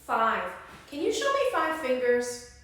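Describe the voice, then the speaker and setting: A woman teacher leading an interactive children's lesson, encouraging and prompting with questions and pauses, recorded in an indoor room. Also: high voice, wildly swooping pitch, a bit bright. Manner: encouraging, instructive, prompting listeners to respond. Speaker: early-grade classroom teacher leading circle time. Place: indoor room with strong echo. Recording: very echoey room, distant microphone.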